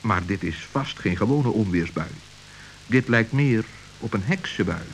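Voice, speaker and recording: A man narrating a scripted children's story in a measured, dramatic manner. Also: lowish voice, expressive, poor audio. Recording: hissy old radio recording.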